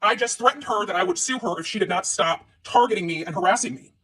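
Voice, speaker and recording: A woman's voice: mid-range voice, animated, fairly bright tone, slightly rough, low-quality recording. A woman in a played clip, venting in an aggrieved monologue. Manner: aggrieved, fast, talks at length.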